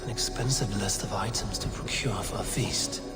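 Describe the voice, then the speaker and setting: A low-voiced, blunt Norse warrior played by a male actor speaks in terse, deliberate phrases. It is game dialogue with clear music behind it. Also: lightly acted. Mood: quiet musing. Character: steady Norse warrior, blunt, loyal, investigating quietly. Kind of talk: dramatic video game dialogue.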